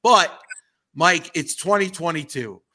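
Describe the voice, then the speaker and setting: A slightly hoarse man hosting a sports radio show, talking casually and emphatically. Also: a little high, lively intonation, slow pace, pushed and bright. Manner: emphatic, opinionated. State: a little hoarse.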